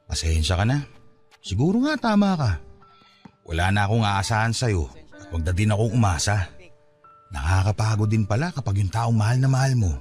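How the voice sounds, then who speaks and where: A man's voice: lowish voice, expressive, moderate pace, long pauses, darkish tone, soft background music, close to an everyday voice. An actor playing a hurt, fed-up young father in an emotional, reproachful Filipino radio drama style. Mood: weary, sad resignation. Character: hurt, fed-up young father giving up on his unrequited love.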